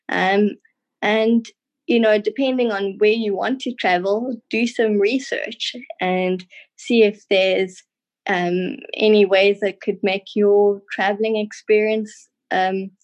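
A woman talks casually as an interview guest. Her mid-pitched voice is recorded dry, and she comes across as thoughtful and encouraging. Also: no room sound, poor audio. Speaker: podcast guest, former tour guide.

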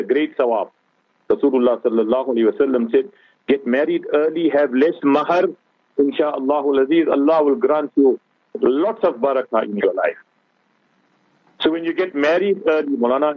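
Husky-voiced man preaching over tinny radio. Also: medium pitch, no room sound. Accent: South African. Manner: earnest preaching, repeatedly addressing host. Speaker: guest scholar. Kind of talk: religious talk radio discussion. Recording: tinny phone-like radio broadcast.

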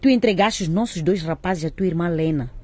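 An actress playing an indignant, hard-working market-trader mother in a radio drama, sharp-tongued, scolding and sarcastic. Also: on the low side, brisk, husky. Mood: bitter, accusing.

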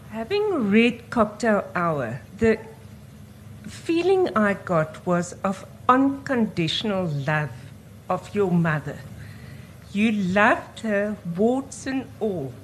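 A woman's voice: medium pitch, a little hiss or hum. A middle-aged woman, an audience member speaking earnestly and emphatically at a book event.

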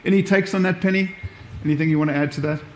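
A man's voice: fairly high pitch, fairly even intonation, very fast, a little hiss or hum. A man with a South African accent giving an informal live presentation, talking through examples as a workshop presenter. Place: echoey room, live event. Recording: echoey room sound.

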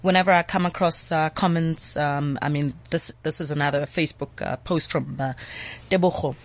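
A woman's voice: deep voice, fairly even intonation, moderate pace. A South African woman hosting a talk show, nasal from recovering flu, speaking hesitantly with many fillers and restarts.

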